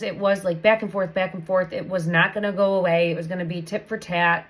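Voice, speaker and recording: A woman hosting a casual tarot reading, chatty and meandering, repeating her phrases, and still recovering from a cold. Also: medium pitch. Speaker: tarot reader host.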